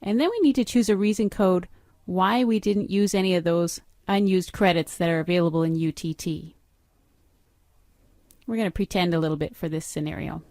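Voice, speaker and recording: Woman, middle-aged, software trainer, step-by-step, matter-of-fact, dry close recording. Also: fairly low pitch, normal speed, no echo. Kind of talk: screen-recorded training walkthrough.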